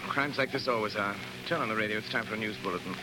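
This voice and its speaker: An actor playing a calm, commanding gentleman detective in an old radio drama, speaking in a measured, authoritative way. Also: fairly low pitch, over loud music, lightly acted. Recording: noisy old radio recording.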